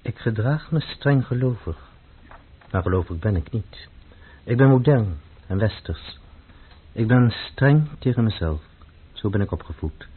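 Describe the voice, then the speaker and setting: An actor playing a stern, devout, grave poet in a radio play, speaking in a measured, formal way. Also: male voice, lowish voice, expressive, breathy edge. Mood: grave, earnest. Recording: muffled, phone-like old radio recording.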